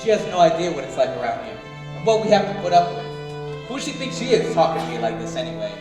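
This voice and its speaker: Indignant veteran prison guard, male played by a man, high voice, musical theatre, distant and very echoey. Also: big pitch swings, fast, music in the background. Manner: complaining. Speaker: stage musical performer. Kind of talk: musical theatre dialogue and singing. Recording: very echoey distant live staged reading.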